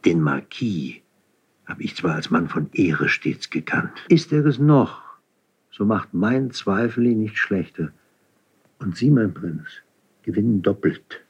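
A long-serving count in a classical radio play. His very deep, husky voice is formal, measured and slyly deferential. The recording is dull and lo-fi. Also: big pitch swings, heavily acted. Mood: pointed, deferential. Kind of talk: classical German verse radio drama acting.

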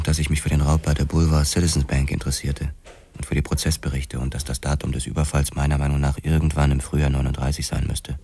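An actor playing a laconic boxer-policeman who tells his story in a measured, matter-of-fact noir radio drama narration. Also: very deep voice, mellow, a little hiss or hum. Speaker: first-person narrator.

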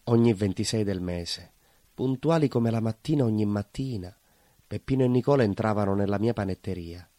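A man's voice: on the low side, normal speed, warm tone, dry recording, close to an everyday voice. A man playing an imprisoned baker, bitter, lovelorn and simmering with rage, in a theatrical monologue with a Calabrian southern Italian accent and short, repeated phrases. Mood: brooding, tender. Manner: short broken phrases, insistent repetition. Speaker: stage actor in a monologue.

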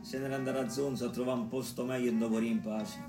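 An actor playing a colloquial storyteller with a Roman accent, narrating a bawdy tragicomic tale in theatrical style for a radio play. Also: male voice, mid-range voice, little pitch movement, fast, close to an everyday voice. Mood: storytelling. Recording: roomy distant voice over clear music. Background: music.

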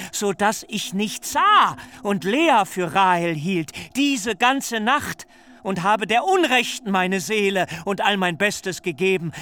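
A man's voice: high-pitched, a bit bright. A man playing a young bridegroom who has been deceived, speaking in an aggrieved, accusing and emotional way in a literary radio play. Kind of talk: stylized literary radio drama.

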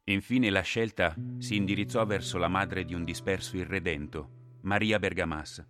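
A man narrating a radio play in a solemn, measured, scripted style. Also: sits low, steady tone, over music.

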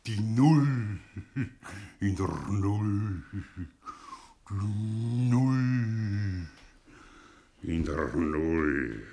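An actor in a 1960s German radio drama playing a cynical, domineering intellectual professor who orates bitterly and mockingly with dramatic pauses. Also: male voice, lowish voice, animated, mellow, a little hiss or hum, exaggerated voice. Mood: mocking, scornful.

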